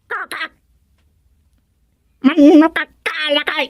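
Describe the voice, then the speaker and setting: A woman playing a talking female frog with a creaky voice in a comedy radio drama. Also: sits high, fairly even intonation, slow pace, dry recording, dull audio. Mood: croaking, teasing.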